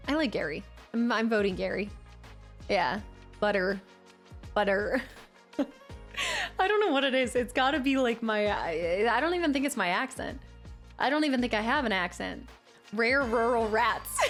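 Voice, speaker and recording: A young woman streamer chatting casually with her viewers, laughing and teasing herself as she reads the chat aloud. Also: a little high. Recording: faint music behind the voice.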